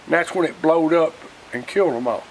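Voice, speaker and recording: A man's voice: a little high, brisk. An older man with a Southern US accent casually reminiscing in a folksy, plainspoken way. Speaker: interviewee, retired coal miner. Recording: noisy outdoor recording with wind.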